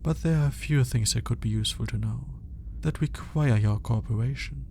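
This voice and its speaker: A man playing a clinical, curious alien scientist in a soft, intimate ASMR roleplay, measured but grudgingly fascinated. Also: mid-range voice, creaky, slight background noise, natural voice. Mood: clinical, detached. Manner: clinical, measured, hesitant when flustered.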